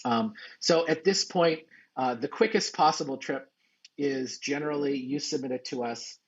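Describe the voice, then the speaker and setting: A man presenting as a journal editor in an explanatory conference style, speaking haltingly with frequent restarts and repeated words. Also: medium pitch, husky, muffled recording.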